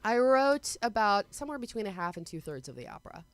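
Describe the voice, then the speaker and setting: Woman, guest composer, animated storyteller, smooth voice, mid pitch. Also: no echo. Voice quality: smooth, very low singing voice. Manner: animated storyteller, quick asides. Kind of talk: casual interview chat.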